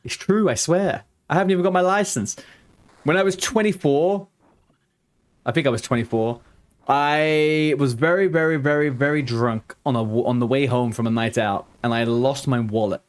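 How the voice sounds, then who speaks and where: A man's voice: mid-range voice, normal speed. A man in his thirties with a mild, worn-down London accent. He rambles in a loose, chatty way, answering viewers as a game streamer. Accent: softened London. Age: 30s. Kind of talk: casual live-stream chat.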